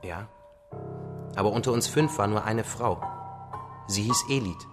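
An actor playing a patient, solemn father-like survivor who tells stories gently and with measured phrasing in a radio drama. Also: lowish voice, music in the background, lightly acted. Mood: reflective. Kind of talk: radio drama acting, storytelling.

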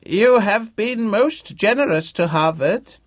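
A storybook old man of ninety, crotchety and commanding. The voice is loud, pushed high and rough with huskiness, as he orders younger people about. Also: animated, takes it slowly, no echo, heavily acted. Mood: crotchety, imperious. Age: older.

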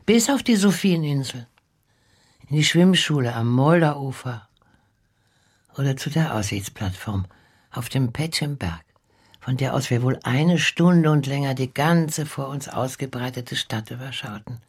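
An older actress playing an elderly former nanny who recalls the past in a reflective, deliberate radio drama monologue. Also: female voice, very deep voice, animated, a little mellow, husky, exaggerated voice. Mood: wistful, grave. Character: elderly former nanny, wistfully recalling pre-war Prague.

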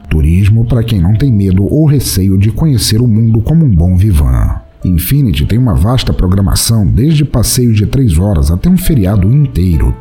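A man with a Brazilian accent, hosting and narrating a scripted podcast audio drama in a theatrical, deliberate way. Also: lowish voice. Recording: soft music behind.

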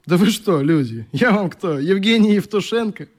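A man's voice: high voice, animated, normal speed. An actor in a radio play playing a sick, irritable young man who leads youngsters with idealism. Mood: wistful, wry. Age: young adult. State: coughing, sick with flu.

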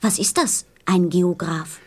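A woman's voice: lowish voice, slow pace. A woman playing a curious, innocent little boy prince in a radio play, earnest and questioning.

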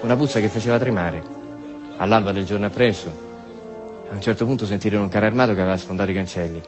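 A man's voice: lowish voice, rapid-fire, music in the background. A man with a Roman accent performing a colloquial theatrical monologue about war, rambling and repetitive, tossing in tag questions. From Rome, Italy. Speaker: monologue storyteller, stage actor.

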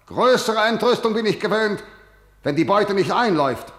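A man's voice: sits high, lively intonation, normal speed. An actor playing a harsh, domineering ruler who scorns his elders, commanding and scornful in classical verse. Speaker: king. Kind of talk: classical verse radio drama. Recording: roomy studio echo.

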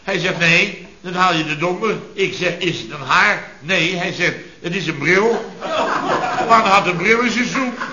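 A man's voice: high-pitched, measured pace, pushed and bright, full character voice. An actor in a radio comedy playing an exasperated chef, blustering and indignantly repeating himself as he tells of disasters. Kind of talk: radio comedy acting before an audience. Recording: echoey dull old radio recording, audience noise.

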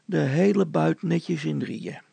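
A storybook village constable, acted by a man, blustering and scheming. The voice is heavily creaky and rough, recorded very dry, with hesitant, conspiratorial phrasing. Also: fairly high pitch, slow, mellow, no echo, heavily acted. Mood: conspiratorial, urging. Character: scheming village constable, blustering, flustered before his superior.

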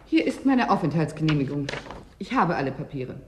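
An actress in a German radio play as a direct, questioning newcomer who grows impatient with officials. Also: female voice, deep voice, very animated, fairly quick, a little hiss or hum. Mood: businesslike, puzzled. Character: blunt, insistent female newcomer to an icebound settlement.

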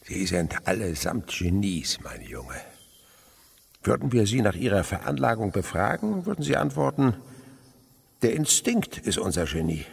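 An actor playing an older naturalist uncle in a radio play, eager and tender, explaining with hushed wonder. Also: male voice, on the low side, gravelly, a little hiss or hum, full character voice. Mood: reassuring, fascinated. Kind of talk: German radio play acting.

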